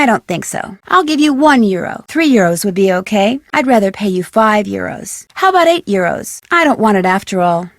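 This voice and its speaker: A woman's voice: a little high, no room sound, clean studio sound. A woman playing a young female sleuth in an adventure game, speaking short, casual lines in a light, upbeat manner.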